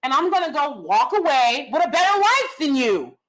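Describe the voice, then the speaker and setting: A young adult woman hosting a casual commentary vlog, loud and blunt, cursing freely. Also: sits high, big pitch swings, normal speed, poor audio. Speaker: vlog host.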